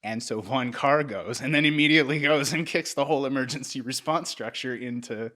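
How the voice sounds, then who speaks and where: A man hosting a podcast, telling history in a casual, animated way, peppered with "like" and "right?". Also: medium pitch, brisk. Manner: animated, filler-heavy, keeps checking in with "right?". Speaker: podcast host.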